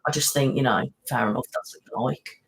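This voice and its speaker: A woman's voice: a bass voice, a little fast, vocal fry. A middle-aged British woman, an ASMR channel host, chatting casually on a live stream and answering viewers, rambling with many fillers. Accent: British English.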